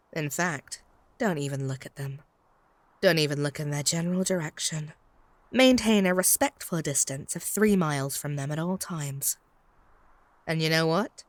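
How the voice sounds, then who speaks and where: A woman acts out a lovestruck, possessive female vampire in a comic audio drama. Her voice sits a little low and is recorded very dry, with a haughty, snide, theatrical bite as she bickers and claims what is hers. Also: dry recording, natural voice. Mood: jealous, commanding. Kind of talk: comedic audio roleplay acting.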